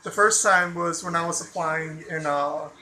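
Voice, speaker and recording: A man with an American accent talks in a casual vlog, rambling loosely and swearing freely, packing his talk with fillers. His voice sits high for a man and sounds very bright and sharp. A faint hiss of noise runs underneath. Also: normal speed. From Chicago suburbs, USA. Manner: loose and profane, heavy on fillers like "you know" and "like". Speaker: vlogger.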